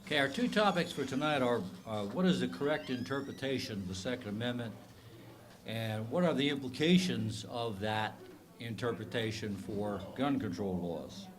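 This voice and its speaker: A man in his sixties with a bad cold, a retired professor giving a measured, methodical lecture to a club meeting, with frequent ums and uhs. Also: medium pitch, lively intonation, a crowd in the background. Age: 60s. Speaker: guest speaker, retired professor.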